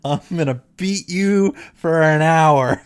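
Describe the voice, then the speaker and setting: A man's voice: fairly high pitch, slow, clean tone. A man giving blunt, mocking, sweary reaction commentary on a visual novel. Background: game music.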